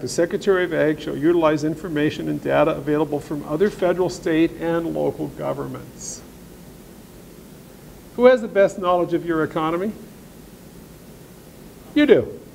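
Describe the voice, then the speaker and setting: A man's voice: fairly high pitch, normal speed, creaky, pauses often, darkish tone, slight background noise, poor audio. A man giving a blunt, sarcastic talk at a farm meeting, reading passages of law aloud and commenting on them. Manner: reads legal text aloud, then comments. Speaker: speaker at an agricultural seminar. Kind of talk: folksy presentation to ranchers.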